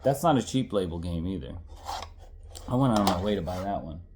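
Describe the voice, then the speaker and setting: A tired, congested man in his thirties rambles through his retro game collection in loose casual chat, often stopping to correct himself and tossing in the odd swear. His voice sits a little low. A faint hiss runs under the recording. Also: lively intonation, brisk.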